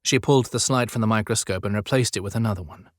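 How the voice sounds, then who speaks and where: A man's voice: lowish voice, quick pace, no echo. Dry-sounding male audiobook narrator. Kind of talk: scripted dramatic storytelling narration.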